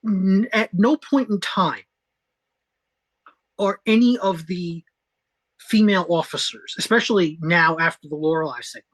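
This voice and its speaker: Woman podcast co-host, slightly low voice, emphatic and opinionated in casual review chat, quick to chime in. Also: low-quality recording.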